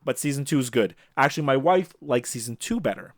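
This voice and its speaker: Male sports podcast co-host, average pitch, casual conversational delivery, dry close-mic sound. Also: lively intonation, a little fast, no room sound.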